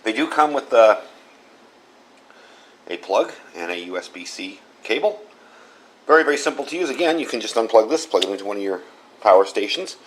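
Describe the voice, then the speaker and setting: A man's voice: fairly low pitch, lively intonation, brisk, long pauses, a bit bright, a little hiss or hum. A man hosting a casual hands-on product review, talking in a folksy, plain-spoken way and repeating himself for emphasis. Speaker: product reviewer and channel host.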